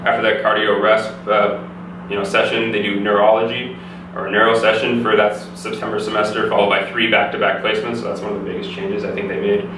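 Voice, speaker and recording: A young man from Canada talks quickly and steadily in a flat, low voice. He sounds like an easygoing student being interviewed. The recording is very echoey, taken from a distance with clear background noise. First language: English. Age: young adult. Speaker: physiotherapy student guest. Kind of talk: casual interview answers.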